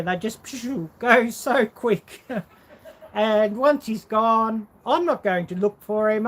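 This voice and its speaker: A woman's voice: medium pitch, poor audio. A woman hosting a casual livestream, rambling and musing aloud as she answers viewers. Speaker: livestream host.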